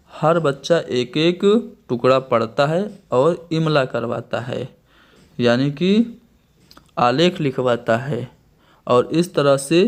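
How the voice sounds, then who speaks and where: Man teaching an exam-prep class. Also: medium pitch. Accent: Indian. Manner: explains step by step, often restating terms in two languages. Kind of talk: online exam-prep lecture.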